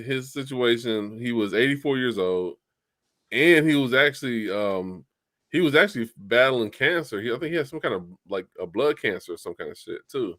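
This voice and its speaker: A man's voice: mid-range voice, fairly bright tone. A man co-hosting a casual podcast who reacts with laughs and teases the others, then turns serious in places. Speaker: podcast co-host.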